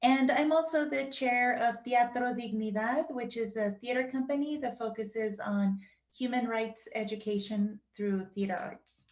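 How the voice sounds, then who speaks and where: Higher-voiced woman in an echoey room. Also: steady tone, unhurried. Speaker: nonprofit director. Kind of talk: formal self-introduction.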